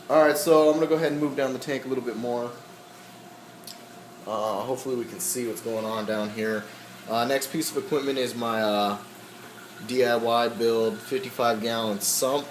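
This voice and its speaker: A man walking through his aquarium equipment in a casual, halting way, with many ums and frequent throat clearing, his voice slightly hoarse. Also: medium pitch, fairly even intonation, reverberant, background noise. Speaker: aquarium hobbyist.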